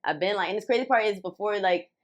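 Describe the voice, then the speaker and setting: A woman's voice: medium pitch, quick pace. A young woman with a New York accent, chatting casually as a podcast guest, rambling with lots of filler words. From New York City. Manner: rambling, full of "like" fillers, laughs often. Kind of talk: casual chat.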